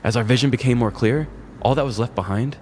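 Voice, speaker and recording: A man's voice: medium pitch, fast talker, darkish tone, a little hiss or hum. A man, a podcast host, narrating a scripted comic story in a wry, dramatic storytelling manner.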